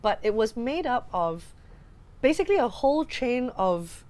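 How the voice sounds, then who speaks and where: A woman co-hosting a podcast, chatting casually about films and games in an animated, exclamatory way. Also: on the high side, normal speed. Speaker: podcast co-host.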